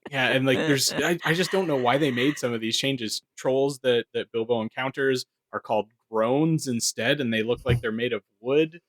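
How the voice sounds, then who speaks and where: A man's voice: medium pitch, moderate pace, few pauses, no echo. A man co-hosting a podcast, explaining things informally and in detail in a casual discussion. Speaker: podcast co-host and producer.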